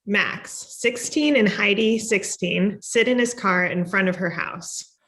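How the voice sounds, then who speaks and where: A woman's voice: medium pitch, slow pace, fairly bright tone. A woman reading the stage directions of a screenplay at a table read, as scripted narration.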